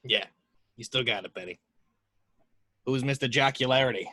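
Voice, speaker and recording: Man playing a forceful, sour hardboiled detective. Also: mid-range voice, normal speed. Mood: dry, weary. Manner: gruff, dry, unamused. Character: sour, world-weary hardboiled male private detective. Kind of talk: amateur table read of a film script.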